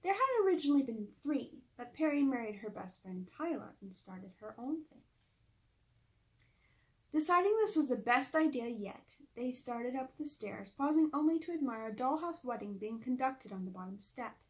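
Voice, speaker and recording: A woman's voice: high voice, expressive, moderate pace, low-quality recording. A woman reading a children's story aloud expressively, with chatty asides to her listeners. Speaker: author reading own book aloud. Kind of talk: storybook read-aloud narration.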